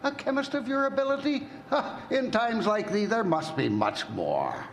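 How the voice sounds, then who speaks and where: A man's voice: extremely high pitch, sing-song, moderate pace, gravelly, full character voice. A man acting a reproving, incredulous critic in an old-time radio drama, theatrical and drawn out. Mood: reproachful. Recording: echoey live stage, faint noise.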